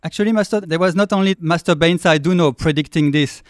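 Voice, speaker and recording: A woman's voice: lowish voice, fast, no echo. Polite woman in a dry, close setting. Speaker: disciple in conversation with teacher. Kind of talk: polite, deferential conversation.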